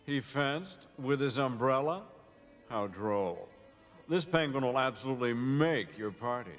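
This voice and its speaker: A condescending high-society snob, voiced by a man who draws out each word slowly. His pitch rolls with mocking relish. Soft music plays behind. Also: animated, in a large hall, close to an everyday voice. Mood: snide. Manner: drawling, condescending. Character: snobbish wealthy grown man, scornful socialite. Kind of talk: animated series voice acting.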